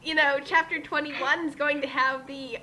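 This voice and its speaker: A woman's voice: high voice, fairly even intonation, moderate pace, pushed and bright, poor audio. A woman in a casual comedic group commentary, rambling with melodramatic exasperation and stammering. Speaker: co-host of a dramatic fan fiction reading.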